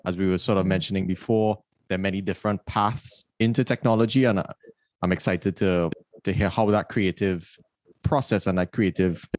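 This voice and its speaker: A man's voice: low voice, a little mellow. A man hosting a podcast, reading a guest's biography and then chatting with him in an upbeat way. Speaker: podcast host. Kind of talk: scripted intro then casual interview.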